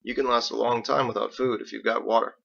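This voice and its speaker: Man reading as a gravelly, resolute calm master. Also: medium pitch, dull audio. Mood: firm, thoughtful. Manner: measured, firm, matter-of-fact.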